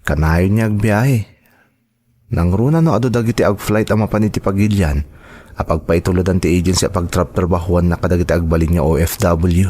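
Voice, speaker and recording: A man's voice: low voice, brisk, mellow. A man playing an earnest, reassuring son and devoted husband in an audio drama. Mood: reflective, hopeful. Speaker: voice actor in a drama. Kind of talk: Ilocano audio drama acting.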